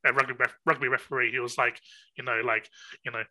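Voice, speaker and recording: A man's voice: mid-range voice, level tone, quick pace, sharp tone. A man hosting a podcast, chatting casually with frequent laughs and many fillers and repeated words. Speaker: podcast host. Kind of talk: casual chat.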